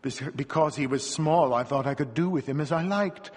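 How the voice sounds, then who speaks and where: Man acting out a toil-worn peasant wife, weary, plaintive, lamenting, husky, storybook drama, long hall reverb. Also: a little high, moderate pace, natural voice. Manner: lamenting, dramatic, sighing old-fashioned speech.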